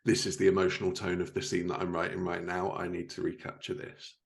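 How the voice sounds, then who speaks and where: A man's voice: deep voice, monotone, fast, vocal fry. A man hosting a podcast, talking casually and conversationally with a guest. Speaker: podcast host. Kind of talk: casual interview chat.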